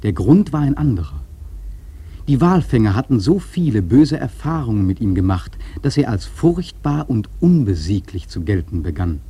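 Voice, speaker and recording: Casting: reflective sea-novel storyteller for radio drama, mellow and husky, earnest, measured rhetoric. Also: male voice, fairly low pitch, big pitch swings, full character voice. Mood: grave, musing. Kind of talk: literary narration in a radio play.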